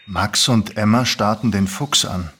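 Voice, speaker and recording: A man's voice: lowish voice, measured pace, fairly bright tone, husky. A man reading scripted story narration as part of a dramatized reading. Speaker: narrator and reader.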